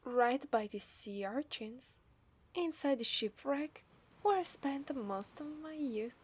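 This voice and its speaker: A woman with an Italian accent voicing a coy, possessive mermaid in a soft, breathy ASMR roleplay. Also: high-pitched, lively intonation, takes it slowly, full character voice. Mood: tender, dreamy. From Italy. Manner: coy, teasing, breathy murmurs. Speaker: ASMR voice actress.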